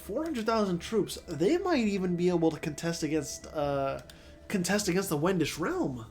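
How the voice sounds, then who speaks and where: A man's voice: high-pitched, animated, normal speed, faint music behind. A man with a sore throat and a stuffy nose, rambling casually as he comments on a strategy game, thinking aloud with lots of fillers. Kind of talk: casual let's-play commentary.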